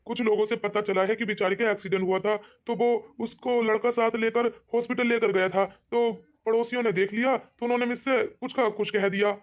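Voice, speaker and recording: A woman's voice: on the high side, fairly even intonation, fairly quick, few pauses, lo-fi sound, close to an everyday voice. A woman voicing a regretful older village father-in-law in an amateur cartoon comedy skit, rambling ruefully.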